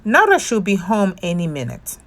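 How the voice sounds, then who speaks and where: Motherly storybook duck, played by a woman: lively intonation, fussing, reassuring babysitter. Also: medium pitch, moderate pace. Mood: concerned, soothing.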